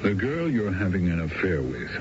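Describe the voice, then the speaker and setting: An actor playing an earnest, moralizing middle-aged family friend in a radio drama, speaking with hesitant, stammering politeness. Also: male voice, low voice, very animated, moderate pace. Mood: earnest, accusing. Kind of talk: old-time radio drama acting. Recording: muffled, noisy 1970s radio recording.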